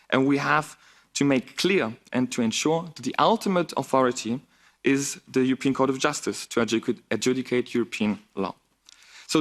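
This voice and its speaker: Earnest young man giving a speech. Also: medium pitch. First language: Bulgarian. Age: 20s. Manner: earnest, emphatic. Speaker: youth delegate. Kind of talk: formal speech. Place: parliament chamber.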